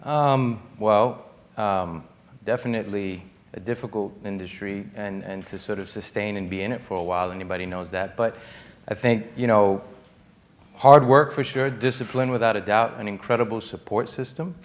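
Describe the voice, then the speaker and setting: A man's voice: fairly low pitch, moderate pace. A man giving a conversational, personal answer as a panelist. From Lower East Side of New York.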